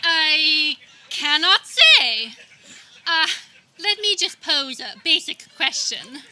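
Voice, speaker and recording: A woman's voice: high-pitched, sing-song, slow pace, noisy background, full character voice. A woman playing a melodramatic, demanding young lady hungry for romance, theatrical and imperious, in a comic radio play. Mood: coy, disappointed. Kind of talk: comic radio theater acting before a live audience. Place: backyard, before a live audience.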